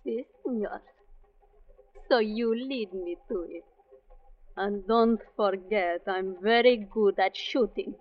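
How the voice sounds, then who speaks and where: A woman playing a proud, distrustful young Mexican woman with a Mexican accent and a sharp tongue in a 1940s radio drama. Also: mid-range voice, slow pace, long pauses, close to an everyday voice. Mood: threatening. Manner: sharp-tongued, defiant, commanding. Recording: dull vintage 1940s radio recording.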